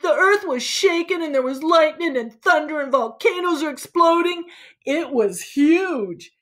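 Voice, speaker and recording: A woman's voice: high-pitched, animated, unhurried, a bit bright. A woman voicing a cocky boy who tells an action story in a blunt, slangy, sardonic way, as a storybook read-aloud. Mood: boastful, excited.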